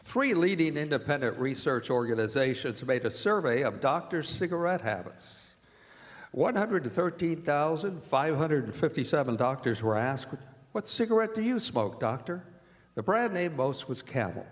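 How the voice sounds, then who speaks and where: Male American radio announcer, lively swooping delivery, vintage sponsor-read style, live in a reverberant hall. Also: a little high, moderate pace. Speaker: announcer and director of a radio theatre group. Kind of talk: old-time radio announcing with scripted ad reads. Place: performing arts center stage. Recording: echoey hall with music.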